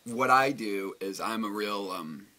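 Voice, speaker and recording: A man's voice: on the low side, measured pace, pushed and bright. A singer-songwriter man talking casually in his recording studio, rambling with lots of fillers and the odd swear word.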